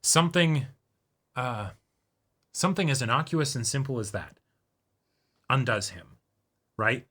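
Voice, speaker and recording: Male, medium pitch, bright and punchy tone. Casual discussion co-host who feels his way through each point. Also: expressive, unhurried, pauses often, husky. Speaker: co-host of an anime reaction show.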